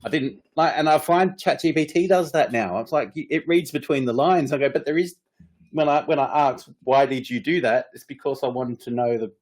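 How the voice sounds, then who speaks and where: A man's voice: mid-range voice, normal speed, a little mellow. A middle-aged man co-hosting a casual podcast, ranting with frequent swearing and laughter as he vents about frustrations. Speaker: podcast co-host. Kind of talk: casual chat.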